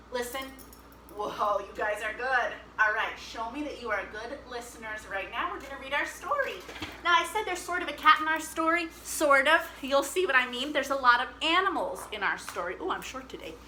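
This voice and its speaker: A woman hosting an interactive musical storytime for children, talking in an animated, encouraging and playful way. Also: sits high. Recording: clear background noise.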